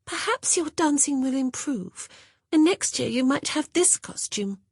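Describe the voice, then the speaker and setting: A woman's voice: sits high, lively intonation, unhurried, a little mellow, no room sound, studio quality, pitched above the performer's own voice. A narrator reading a smug little girl who taunts her rival. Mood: taunting.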